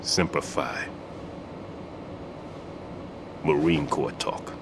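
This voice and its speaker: Grave, low-voiced bomb disposal veteran. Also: male voice, fairly even intonation. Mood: suspicious, grave. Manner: measured, grave, instructive. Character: wounded older male bomb-disposal expert, grave and steady. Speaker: team leader giving instructions. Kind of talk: dramatic video game voice acting. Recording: clearly noisy game audio with background rumble.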